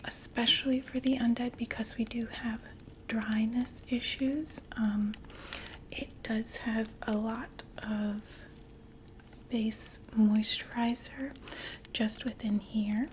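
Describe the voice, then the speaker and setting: A woman playing a polite vampire makeup saleswoman in an ASMR roleplay, whispering softly with gentle, attentive, explanatory patter. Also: a little high, steady tone, takes it slowly, a little hiss or hum. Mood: helpful, soothing. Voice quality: soft breathy whisper.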